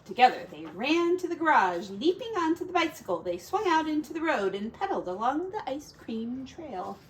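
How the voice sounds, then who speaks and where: A woman teacher reading a children's story aloud in an expressive storytelling style. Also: a little high, slight background noise, rough recording.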